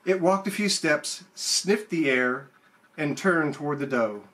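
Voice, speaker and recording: A man's voice: fairly high pitch, unhurried, a bit bright, slight background noise. A man who chats casually and reads his own poem at an open mic. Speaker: poet. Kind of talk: casual chat and poem reading.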